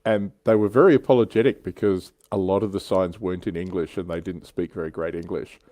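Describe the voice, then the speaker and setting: Mellow low-voiced man hosting casual chat. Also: steady tone, brisk, dry recording. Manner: informal, anecdotal, joking. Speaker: show host. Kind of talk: casual chat on a hobby livestream.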